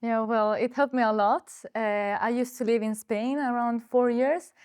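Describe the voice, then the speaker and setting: A woman's voice: on the high side, steady tone, normal speed, darkish tone, clean tone. A teenage girl student from Sweden, talking candidly and chattily about her studies. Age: teens.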